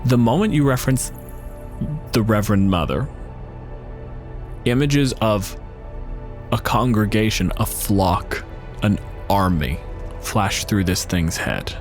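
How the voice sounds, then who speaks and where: Low-voiced man running a tabletop game. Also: takes it slowly, long pauses. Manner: casual, sweary, vivid descriptive asides. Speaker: game master. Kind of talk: improvised tabletop game narration. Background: faint music.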